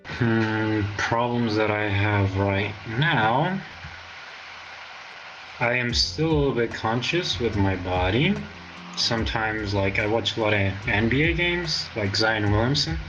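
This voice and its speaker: A man's voice: lowish voice, expressive, takes it slowly, highs cut off, background noise. A man in his twenties from Portugal with a Portuguese accent, a guest in a casual interview, hesitant and full of fillers. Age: 20s. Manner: hesitant, many fillers and restarts.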